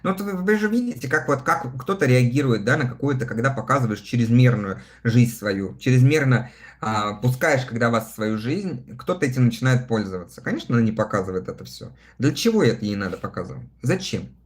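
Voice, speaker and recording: A man in his thirties hosts a relaxed livestream, reading viewer messages aloud and answering them in a chatty way. His pitch sits in a middle register but swings widely and expressively, and he laughs often between comments. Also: a little fast. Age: 30s. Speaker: live streamer reading viewer chat. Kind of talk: casual livestream chat.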